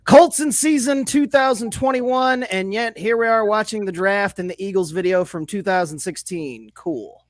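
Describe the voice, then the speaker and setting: A man's voice: sits high, normal speed, few pauses, no room sound. A man co-hosting a casual sports comedy podcast, sarcastic and freely profane as he runs through his notes. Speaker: podcast co-host.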